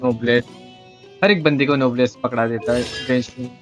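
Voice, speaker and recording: Fast-talking Indian man streaming games. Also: medium pitch, steady tone, music in the background. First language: Hindi. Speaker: game livestreamer. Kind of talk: casual gaming commentary mixing languages.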